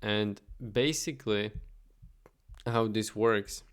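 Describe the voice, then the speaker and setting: A young man casually talking through his daily business update while reading viewer questions, chatty and relaxed, thinking aloud. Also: fairly low pitch, slow pace, clean tone. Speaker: automation agency owner vlogging. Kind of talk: casual screen-share vlog.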